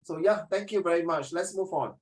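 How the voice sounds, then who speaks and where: A man with a Singaporean English accent teaching a social studies class, animated and rambling, slipping into Mandarin now and then. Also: high-pitched, fairly quick.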